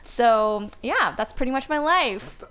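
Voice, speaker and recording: Bubbly, higher-voiced young woman host. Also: lively intonation, slow pace, very noisy. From Canada. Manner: upbeat, chatty, many "like" fillers. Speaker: podcast host, first violinist. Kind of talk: casual podcast chat.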